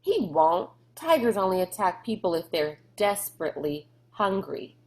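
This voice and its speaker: A woman's voice: lowish voice, big pitch swings, moderate pace, darkish tone. A woman voicing a headstrong, bossy young girl in a storybook, insistent and emphatic. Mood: earnest.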